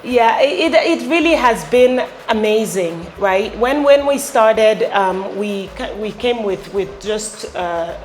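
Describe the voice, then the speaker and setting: A middle-aged woman from Ghana, a company founder, answering interview questions with many pauses and fillers. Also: a little high, lively intonation, a little hiss or hum. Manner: halting, many fillers and restarts. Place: showroom with electric bikes. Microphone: lapel.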